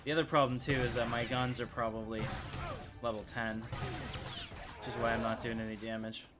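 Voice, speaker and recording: A man's voice: mid-range voice, flat delivery, moderate pace, over music. A man giving casual, informal gameplay commentary, thinking aloud as he plays. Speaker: gaming streamer.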